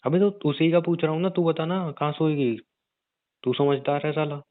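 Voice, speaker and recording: A man playing a rough young gangster husband in an audio drama, crude and teasing yet protective, talking in slang. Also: a little high, steady tone, moderate pace, dry recording, lightly acted. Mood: teasing, annoyed. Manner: slangy, crude, mild swearing. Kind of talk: audio roleplay drama, conversational. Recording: narrow phone-like dry sound.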